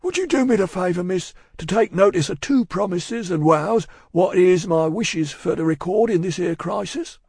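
A man's voice: high-pitched, normal speed, creaky, hardly any pauses, a little mellow, slightly rough, no echo, studio quality, pitched above the performer's own voice, full character voice. A man voicing a solemn, repentant working-class London man in a storybook, with a Cockney accent and a ponderous, preachy, emphatic way of talking. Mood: solemn, earnest. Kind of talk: audiobook character acting.

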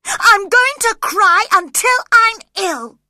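Woman reader putting on a headstrong young woman, imperious, quick to anger, slow delivery, dry sound. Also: on the low side, very animated, fairly bright tone, no echo, full character voice. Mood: furious.

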